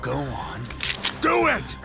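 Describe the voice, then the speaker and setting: Swaggering young gangster, animated delivery. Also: male voice, a little high, slow pace, heavily acted. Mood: mocking, goading. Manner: swaggering, sneering, profane. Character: cocky, foul-mouthed young yakuza thug, taunting and aggressive. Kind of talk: English-dubbed video game cutscene acting. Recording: loud music behind the voice.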